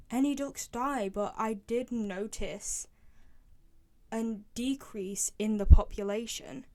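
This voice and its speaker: A young woman speaks in a fairly high voice as a fan host. She is chatty and informal, giving her opinions with open enthusiasm in casual commentary. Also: darkish tone.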